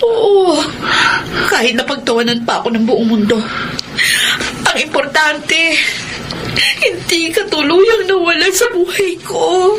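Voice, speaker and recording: Clingy ex-girlfriend, high voice, very animated, pleading, radio melodrama. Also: unhurried, long pauses, noisy background. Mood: desperate pleading. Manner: pleading, excitable. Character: clingy, desperate ex-girlfriend begging to be taken back. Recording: loud music under the voice.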